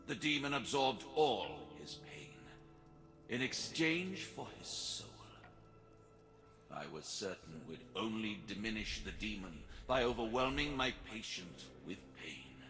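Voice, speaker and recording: Agitated ghost of a priest, fantasy game, halting pleas, sharp bright tone, widely swinging pitch. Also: male voice, full character voice. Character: anxious trembling male spirit of a priest. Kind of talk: dramatic fantasy video game acting.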